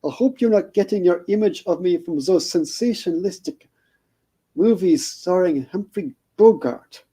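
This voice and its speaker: A man reading a parody story aloud, voicing a seductive female spider hostess in a purring, drawn-out, theatrical way. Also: high-pitched, animated, dark tone, slightly rough, pitched above the performer's own voice.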